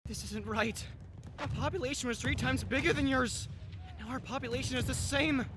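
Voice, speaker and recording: A woman's voice: sits high, a little fast, noisy background, close to an everyday voice. A woman voicing an outraged herbivore animal in a cartoon dub, impassioned and indignant. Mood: rising outrage. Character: outraged herbivore animal protester accusing carnivores.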